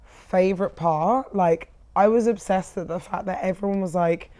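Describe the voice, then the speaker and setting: Young female podcast co-host, croaky, warm low-edged tone, chatty and upbeat. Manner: bubbly, laughs often, fast restarts. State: croaky voice after a big event. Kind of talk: casual chat.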